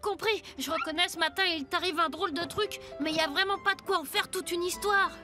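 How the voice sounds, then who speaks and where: A woman's voice: very high-pitched, a little fast. A woman voicing a cheeky, loyal cartoon schoolboy pal, chummy and slangy. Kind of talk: French animated cartoon dub. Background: music.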